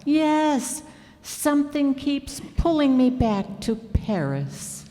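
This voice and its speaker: A woman's voice: high-pitched, sing-song, slow pace, warm tone, full character voice. A woman playing an affected, self-important lady poet, gushing and condescending, in an old-time radio comedy. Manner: pompous, gushing. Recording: echoey, reverberant hall.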